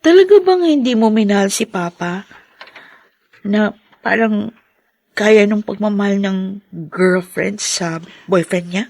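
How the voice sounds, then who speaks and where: A woman playing an affectionate, playful young daughter in a radio drama, with sweet, expressive delivery. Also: medium pitch, a little slow, pauses often, no room sound. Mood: warm, gentle. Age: young adult.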